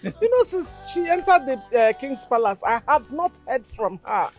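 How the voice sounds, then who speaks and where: A woman's voice: sits high, sing-song, normal speed, soft background music. A woman, playing a worried village mother who pleads earnestly. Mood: anxious. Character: anxious village mother pleading for her children.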